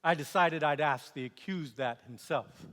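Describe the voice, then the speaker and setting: Sardonic Roman governor for stage monologue, male actor: high-pitched, forceful, scornful and exasperated, theatrical delivery. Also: moderate pace, lightly acted. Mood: weary, wry. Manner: animated, scornful, exasperated, swinging from quiet asides to outbursts. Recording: echoey hall with long reverb.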